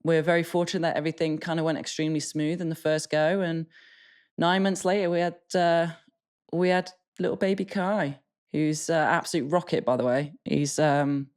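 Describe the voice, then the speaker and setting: An English woman with a notably low voice co-hosts a podcast in easy, casual chat. She is blunt and self-mocking, with a loose tongue that lets swear words slip. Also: fairly even intonation, moderate pace, darkish tone. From England. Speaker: podcast co-host.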